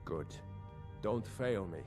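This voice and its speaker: An actor playing an authoritative, grave lord of a fantasy city in scripted game dialogue. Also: male voice, on the low side, expressive, slow pace, darkish tone, soft background music. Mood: commanding, grave.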